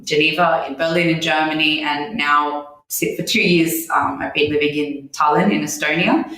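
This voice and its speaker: A woman's voice: low voice, fairly bright tone. A woman in her thirties with an Australian accent, chatting as an interview guest with frequent ums and easy laughs. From born in New Zealand, raised in Australia. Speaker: guest, head of content. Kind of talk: casual conversation. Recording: very echoey room.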